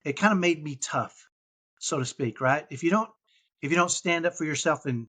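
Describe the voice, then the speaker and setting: A man with a mild Texan accent, talking as a guest on a parenting podcast. His voice sits a little high for a man. He rambles and restarts, circling back with fillers as he goes. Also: normal speed, pushed and bright. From Texas. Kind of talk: casual interview chat.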